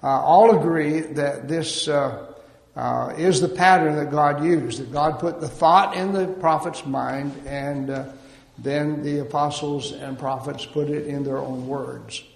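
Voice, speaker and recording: Man, preacher, sermon, gravelly voice, measured with fillers, long hall echo. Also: a little high. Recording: echoey hall.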